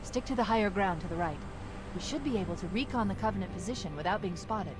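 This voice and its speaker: A confident female AI companion acted by a woman briefs a soldier with crisp, precise authority. She sits at a medium pitch, in scripted game style, with clear noise of vehicles and battle behind. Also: expressive, fast, natural voice. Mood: calm, tactical. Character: brisk, confident female military artificial intelligence companion. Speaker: tactical guide.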